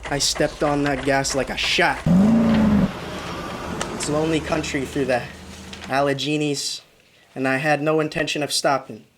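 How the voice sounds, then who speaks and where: Man acting a haunted traveler, rather high-voiced, over music. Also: flat delivery, a little slow, long pauses, very noisy, close to an everyday voice. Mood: uneasy recounting. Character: haunted 36-year-old man recounting his fearful road trip. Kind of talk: radio drama acting.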